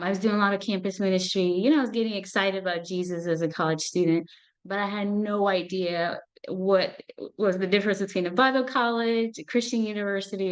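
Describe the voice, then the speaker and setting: A woman psychologist speaks to a gathered audience in an earnest, personal way. Her voice sits somewhat low, and her talk is full of little fillers and restarts as she shares her story. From Ethiopia. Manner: earnest, warm-hearted, with frequent fillers and restarts. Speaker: clinical psychologist and professor.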